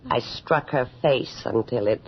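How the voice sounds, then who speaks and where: An actress playing a vain, cruel, passionately devoted noblewoman in a theatrical 1980s radio horror drama. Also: very deep voice. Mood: cold, silky. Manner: theatrical, intense, drawn-out delivery. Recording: muffled old radio drama with hiss.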